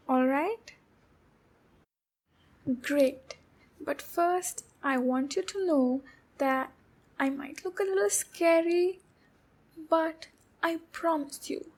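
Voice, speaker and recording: A woman's voice: sits high, a little mellow. A woman voicing a shy, anxious, clingy demon captor in a roleplay ASMR, speaking in a hesitant, pleading and soothing way. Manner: hesitant, halting, pleading, soothing with pet names. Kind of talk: roleplay ASMR, intimate acted monologue.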